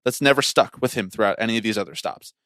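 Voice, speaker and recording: A man's voice: fairly low pitch, animated, fast talker, a bit bright, dry recording, studio quality. A man co-hosting a casual sports podcast, telling stories in a wry, opinionated way. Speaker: podcast co-host.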